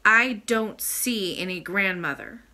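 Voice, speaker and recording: A woman's voice: mid-range voice, animated, normal speed, sharp tone. A woman voicing a stubborn, plain-spoken young boy in a storybook, blunt and sulky in manner. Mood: gruff, sulky.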